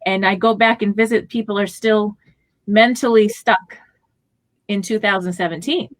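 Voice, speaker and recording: A woman hosting a radio talk show, chatting slowly and affirming her guest. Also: medium pitch, fairly even intonation, no room sound. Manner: slow, affirming, encouraging. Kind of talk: casual interview chat.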